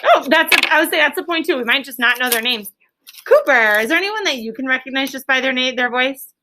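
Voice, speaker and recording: A woman leading a classroom discussion as a teacher, in an animated, encouraging, prompting manner. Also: high voice, fast talker, noisy background.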